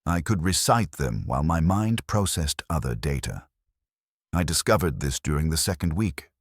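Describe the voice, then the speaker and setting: An extremely low male narrator delivers scripted dark fiction with a solemn, clipped manner. His voice has a slightly husky grain and moves noticeably in pitch, captured very dry and close. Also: dry recording. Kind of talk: scripted dramatic first-person fiction narration.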